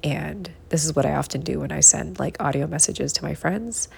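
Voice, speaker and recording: A woman hosting a solo podcast from home, giving encouraging, conversational tips in a hushed, early-morning voice. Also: low-pitched, moderate pace, vocal fry, slightly rough. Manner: encouraging, conversational, repeats phrases for emphasis. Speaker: podcast host. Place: living room at home.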